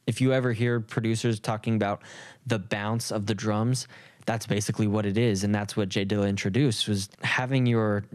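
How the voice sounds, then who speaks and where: A man's voice: fairly low pitch, no echo, studio quality. A man talking casually and reflectively in an informal, rambling, slangy way. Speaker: music producer.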